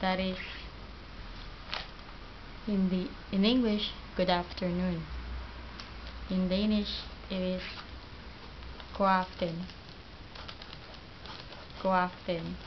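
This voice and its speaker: A Filipino woman whose first language is Tagalog, teaching basic words slowly and repeating each one twice. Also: on the low side, fairly even intonation. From Philippines. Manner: slow, halting, repeats each word twice. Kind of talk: home video language lesson. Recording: dull lo-fi home video with background noise.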